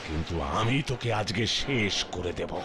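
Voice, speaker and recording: A man's voice: sits low, moderate pace, noisy background, natural voice. A man voicing an arrogant, wealthy villainous landlord in a cartoon, with a pompous, emphatic, theatrical delivery. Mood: threatening.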